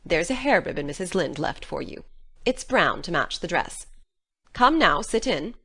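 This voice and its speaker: A woman's voice: fairly low pitch, sing-song, normal speed, sharp tone, husky, exaggerated voice. A woman playing a stern, practical older countrywoman in a dramatized audiobook, with a stiff, scolding manner. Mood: brisk, tolerant.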